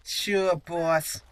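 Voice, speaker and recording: A woman hosting a roleplay let's play, chatty and playful, thinking aloud as she goes. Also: fairly low pitch. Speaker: gaming host.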